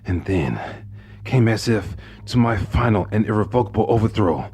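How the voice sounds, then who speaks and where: A man narrating a horror story in the first person, intense, dropping to hushed whispers and rising into anguished outbursts. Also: fairly low pitch, animated, moderate pace, husky. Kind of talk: dramatic horror story reading.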